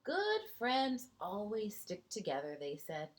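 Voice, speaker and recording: A woman reads a picture book to kindergarten children at a slow, measured pace. Her pitch moves up and down in a lively way. She is expressive, stepping out of the text to point out small details and put questions to the young listeners. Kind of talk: storybook read-aloud with asides to young children.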